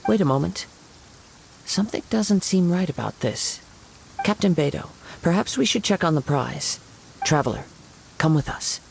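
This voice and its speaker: A man voicing a calm, poetic young wandering swordsman, speaking in a measured, formal way in a video game. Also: on the high side, vocal fry, slight background noise, poor audio. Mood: calm, alert. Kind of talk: scripted video game dialogue.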